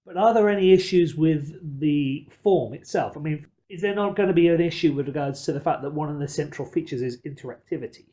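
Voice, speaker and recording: A man's voice: a little high, brisk, hardly any pauses, dark tone. A man co-hosting a podcast, working through his arguments in a casual, discursive way. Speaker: podcast co-host.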